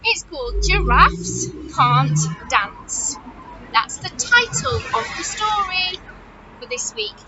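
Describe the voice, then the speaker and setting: A woman reading a story aloud to young children like a nursery teacher, in an animated, exaggerated manner, often putting questions straight to them. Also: extremely high pitch, muffled recording, noisy background.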